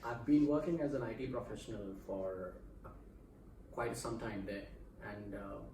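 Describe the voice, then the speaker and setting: A man from Mumbai, a guest explaining things in a measured way with frequent pauses. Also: fairly low pitch, mellow. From India, Mumbai. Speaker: interview guest. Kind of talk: casual interview. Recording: roomy echo, mic at a distance, faint background hum.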